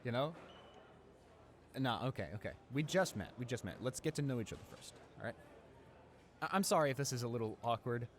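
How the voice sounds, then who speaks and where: A man playing a friendly, awkward, talkative high school student in a casual audio roleplay, speaking in a hesitant, rambling, informal way. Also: expressive, brisk, long pauses, close to an everyday voice.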